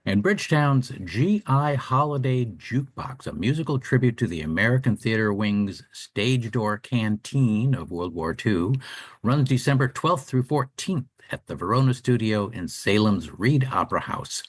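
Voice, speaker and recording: A man's voice: mid-range voice, expressive, normal speed, slightly rough. A man co-hosting a radio show, reading scripted community calendar announcements.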